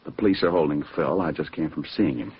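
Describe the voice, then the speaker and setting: An actor playing a wisecracking ex-cop private detective with a wry manner in a vintage radio drama. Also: male voice, rumbling low, fast. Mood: gentle, soothing. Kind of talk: 1940s-50s radio detective acting. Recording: muffled, hissy old radio broadcast.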